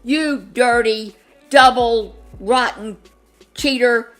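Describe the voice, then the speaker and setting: A woman's voice: a little high, takes it slowly, a bit bright, faint music behind, voice pushed up. A woman voicing an indignant young male dinosaur with an emphatic manner in a storybook. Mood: outraged.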